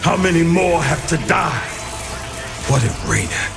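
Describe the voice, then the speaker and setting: Battle-worn male general for a game, gravelly, slow, wide swings in pitch, loud music behind. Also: lively intonation, full character voice. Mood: bitter fury. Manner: gruff, commanding, bitter. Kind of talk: dramatic video game acting.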